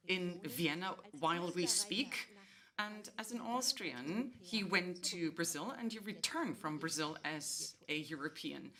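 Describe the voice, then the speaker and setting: Female moderator, bright and forward, lower register, leads a formal panel. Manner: introduces guests at length.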